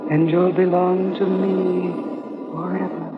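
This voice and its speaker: Ageless spirit goddess, soft warm beseeching voice, unhurried, vintage radio drama, muffled, loud music. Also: female voice, fairly low pitch, little pitch movement. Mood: seductive, beseeching. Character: ageless seductive pleading goddess spirit of a sacred grove. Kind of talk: old-time radio drama acting.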